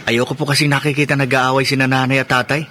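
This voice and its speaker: A man's voice: medium pitch, little pitch movement, fast, bright, forceful tone, lightly acted. A man playing an earnest, troubled schoolboy in a radio drama, polite and plaintive. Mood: sad, polite. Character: earnest schoolboy son, troubled by quarrelling parents.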